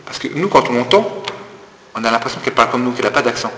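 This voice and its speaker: A man's voice: medium pitch, expressive, fast talker, a bit bright. A man from Lorraine with a Lorraine French accent, explaining things at length in a casual, playful chat. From Lorraine, France. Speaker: discussion participant. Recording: echoey room, distant reverb, faint noise.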